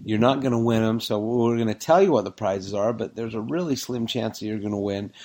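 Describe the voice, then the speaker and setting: A man's voice: fairly low pitch, fairly quick, darkish tone. A man co-hosting a casual sports podcast, rambling and joking, getting worked up over team records. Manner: rambling, jokey, gets worked up over stats. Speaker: podcast co-host.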